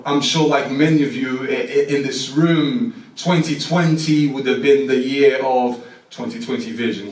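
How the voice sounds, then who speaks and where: A young man with a Portuguese accent speaks to a church gathering in a relaxed, joking way, often restarting his sentences. His voice is middle-pitched. He sounds set back from the mic in a roomy, echoing hall. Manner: chatty, self-deprecating, many restarts. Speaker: mission team speaker. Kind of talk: informal talk at a church service. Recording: echoey room, mic far off.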